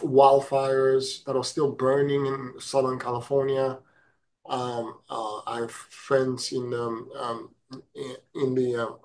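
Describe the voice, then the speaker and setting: A man's voice: medium pitch, fairly bright tone, poor audio. A middle-aged man teaching on spiritual practice over a video call, sounding nasal from a head cold, with frequent fillers and restarts. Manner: slow and deliberate, many fillers and restarts. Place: home room with a virtual background. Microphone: webcam video call.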